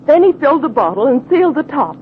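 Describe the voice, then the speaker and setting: A woman's voice: high-pitched, big pitch swings, fast talker. A woman playing a frightened young female smuggler in a 1940s radio drama, pleading and breathless, on a muffled recording with faint hiss. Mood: anxious.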